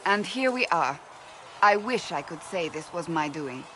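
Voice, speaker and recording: A woman's voice: lowish voice, lively intonation, measured pace, fairly bright tone, slight background noise, low-quality recording. An actress playing a stern, blunt woman warrior in a video game, speaking in a firm, clipped, formal manner. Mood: earnest, reflective. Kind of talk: dramatic video game dialogue.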